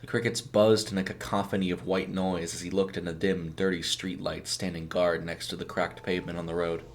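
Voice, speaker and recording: A man's voice: sits low, little pitch movement, brisk, slight background noise. A man reading a scripted short story as a dramatic narrator.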